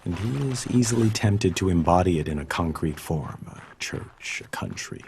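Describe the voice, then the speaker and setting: A man delivers scripted quotations with measured earnestness. His voice sits low, with plenty of gravel and fry, and there is faint noise behind it. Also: animated, darkish tone.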